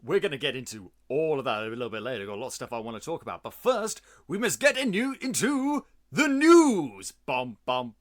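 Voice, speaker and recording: A man hosting a podcast in casual chat, theatrical and booming, jumping between voices. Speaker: podcast host.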